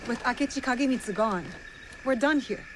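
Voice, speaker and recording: A woman's voice: a little high, fairly quick, fairly bright tone, close to an everyday voice. Woman playing a blunt young shinobi, noisy backdrop. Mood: matter-of-fact. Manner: dry, terse banter. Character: blunt, wary young female shinobi warrior, teasing. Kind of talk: video game cutscene voice acting.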